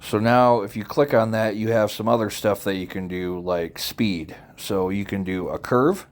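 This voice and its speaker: A man with a fairly low voice presents a software tutorial. He speaks informally and without a script, instructing one step at a time. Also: moderate pace. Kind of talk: casual, unscripted software tutorial narration.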